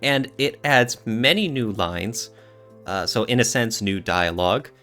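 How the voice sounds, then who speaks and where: A man's voice: mid-range voice, lively intonation, a little slow, sharp tone, faint music behind. A man co-hosting a podcast, talking casually in an earnest, reflective way with some hedging. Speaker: podcast co-host.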